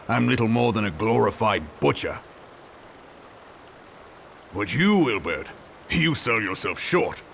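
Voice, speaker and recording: Hearty old ex-gladiator, lowish voice, swings up and down a lot, faint hiss. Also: male voice, gravelly, sharp tone, full character voice. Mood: humble. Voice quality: hearty and bluff. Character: gruff older male ex-gladiator turned politician. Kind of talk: video game cutscene voice acting.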